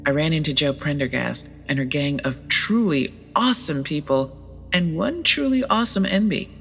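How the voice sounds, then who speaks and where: An actress playing a sardonic, foul-mouthed traveler stranded in an apocalypse, confiding in a comedic audio drama monologue. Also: female voice, sits low, expressive, moderate pace, creaky, fairly bright tone, soft background music, full character voice.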